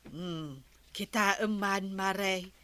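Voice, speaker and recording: A woman's voice: mid-range voice, very slow, bright, forceful tone. A woman playing a chatty village housewife in an Ilocano audio drama, with an Ilocano accent. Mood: teasing, chatty.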